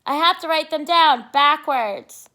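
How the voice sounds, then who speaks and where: Woman playing an anxious, sly storybook schoolboy, high and bright. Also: measured pace. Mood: sly, confident. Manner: exaggerated, expressive read-aloud delivery.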